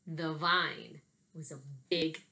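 A woman, talking casually and reading to children in an animated, expressive way, like an elementary teacher. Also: low voice, slow, vocal fry. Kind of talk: casual video message and children's read-aloud. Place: small bedroom at home, indoors. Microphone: laptop webcam at desk distance.

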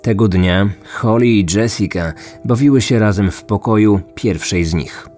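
A young man hosting a true crime podcast, reading scripted narration in a measured, deliberate way. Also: on the low side, darkish tone. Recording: music bed behind the voice.

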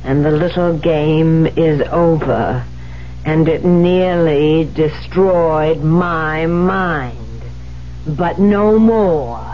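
An actress in a 1970s radio drama playing a cold, unhinged woman writer who killed her husband, speaking deliberately and dramatically. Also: low-pitched. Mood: cold, controlled. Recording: dull, noisy 1970s radio recording with hum.

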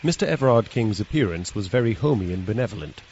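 Animated, well-spoken British gentleman narrator. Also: lowish voice, fast. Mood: reflective. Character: polite, anxious, impecunious English gentleman narrator. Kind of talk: dramatic first-person narration and dialogue. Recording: dull, faintly noisy recording.

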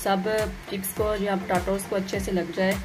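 A woman's voice: mid-range voice, level tone, normal speed. A woman gives a plain, instructional step-by-step recipe voice-over as a home cooking host. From Pakistan. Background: music behind the voice.

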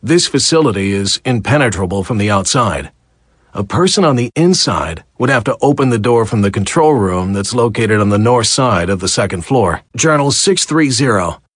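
A man's voice: mid-range voice, breathy edge, no room sound, clean studio sound. A man playing a matter-of-fact facility worker recording journal entries for a video game.

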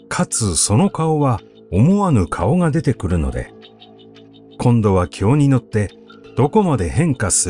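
Expressive male literary reader, unhurried. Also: medium pitch. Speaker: narrator reading literature aloud. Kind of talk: literary recitation of a classic essay. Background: background music.

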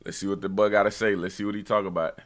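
A man's voice: on the low side, level tone, fast talker. A young American man giving casual, slangy reaction commentary with opinionated, conversational delivery. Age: young adult. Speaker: reaction video host.

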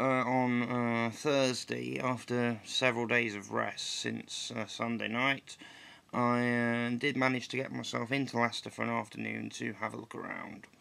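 A young man from England, slightly croaky after flu, rambling through a casual solo vlog update with many ums and restarts, filmed on a close webcam in a dim living room. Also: mid-range voice, fairly bright tone. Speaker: vlogger.

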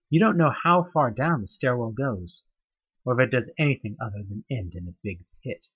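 A man's voice: mid-range voice, slightly rough, dull audio. Man narrating horror in second person. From United States. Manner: tense, dramatic storytelling with rising questions. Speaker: narrator of a fan audioplay.

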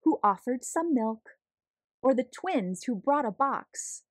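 A woman reading a children's picture book aloud as a storyteller, in a deliberate, expressive, measured way. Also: high-pitched, dry recording. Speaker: read-aloud storyteller, host.

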